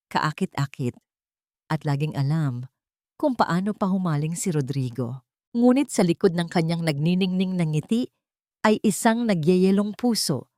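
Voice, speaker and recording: Low, mellow woman narrating sorrowful drama. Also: no room sound, studio quality. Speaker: storyteller. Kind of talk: dramatic first-person audio story narration.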